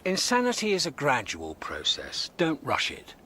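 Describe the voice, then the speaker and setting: A man acting an exasperated, excitable galactic traveller and guidebook researcher in a radio comedy drama, wry and impatient. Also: medium pitch, normal speed, breathy edge, full character voice.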